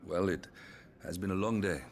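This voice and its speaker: A noble father and ruler speaks in a very deep voice. He is measured and reassuring, a solemn, kindly and proud figure from a fantasy game drama. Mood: caring. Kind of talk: video game drama acting.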